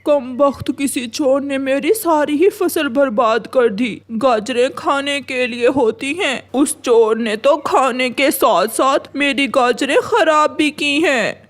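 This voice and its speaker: Cartoon pigeon farmer, acted by a woman: vengeful, complaining male bird, high-pitched, deliberate pace. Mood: upset, complaining.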